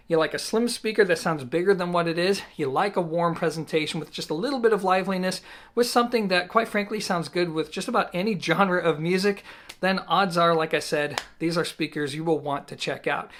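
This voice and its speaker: A man in his thirties giving a conversational product review to camera, explaining things in a measured way with emphatic pauses. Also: sits high, a bit bright. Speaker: audio gear reviewer and host. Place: small indoor home listening room.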